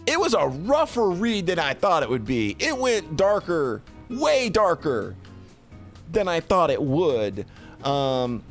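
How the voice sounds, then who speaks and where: A man giving a casual, unscripted review as a streamer, often repeating and restarting phrases as he thinks aloud. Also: a little high.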